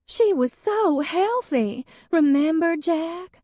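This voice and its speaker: A woman's voice: very high voice, animated, takes it slowly, darkish tone, no room sound, clean studio sound, pitched above the performer's own voice, exaggerated voice. A woman reading an audiobook, voicing a weepy, clingy young woman who wails dramatically. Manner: sobbing, wailing.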